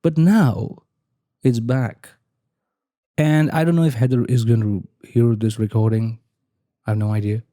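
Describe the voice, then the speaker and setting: A man speaking as an amateur reader, with deliberate, theatrical emphasis and drawn-out phrases. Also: mid-range voice, fairly even intonation, mellow, no echo, studio quality. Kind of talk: casual talk and dramatic audiobook-style reading.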